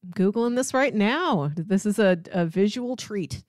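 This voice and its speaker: A woman's voice: mid-range voice, expressive, measured pace, darkish tone, no echo, clean studio sound. A woman co-hosting a movie podcast in casual, conversational talk. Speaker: podcast co-host.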